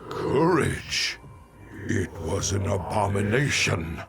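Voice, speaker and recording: Old male alien dark warrior, game drama: gravelly, low, very halting, swinging intensely in pitch. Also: sing-song, music in the background, heavily acted. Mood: scornful, disbelieving. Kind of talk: dramatic game cutscene acting.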